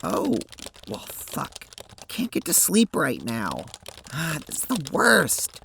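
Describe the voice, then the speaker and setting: An irritable girl, acted by a woman, with a low voice that swings wildly in pitch. She is blunt, exasperated and quick to swear, as a character in an audio drama, with a faintly noisy recording. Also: huge pitch swings, creaky, heavily acted. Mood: weary, upset. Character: irritable, foul-mouthed girl shaken by her strange dreams.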